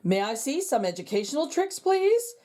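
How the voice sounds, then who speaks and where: A proper, polite male school principal from a children's storybook, speaking with formal courtesy, as a woman reads him aloud. The voice stays fairly close to her own, with lively pitch movement. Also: normal speed.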